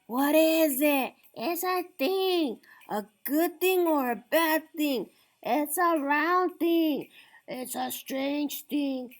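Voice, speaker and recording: A woman voicing small, excitable, nervous prairie dogs from a storybook in squeaky, breathless exclamations. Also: drawn-out words. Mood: anxious, curious.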